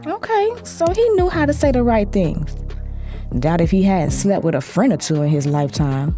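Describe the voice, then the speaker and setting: A young American woman tells a story in the first person with lots of swing in her pitch. She is sassy and confiding, like someone sharing gossip. Music plays clearly behind her. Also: big pitch swings, normal speed, mellow. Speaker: first-person narrator of an audio drama. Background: clear background music.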